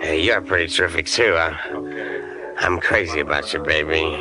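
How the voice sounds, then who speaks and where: An actor playing a boastful, tipsy, lovestruck American small-time crook in a 1940s radio drama, slangy and bragging. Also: male voice, very deep voice, moderate pace. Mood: tipsy, eager. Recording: vintage radio recording with music behind.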